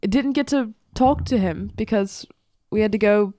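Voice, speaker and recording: A woman's voice: mid-range voice, measured pace, mellow, dry recording, studio quality. A woman playing a tabletop game, speaking casually and a little hesitantly as she improvises. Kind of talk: casual improvised in-character chat.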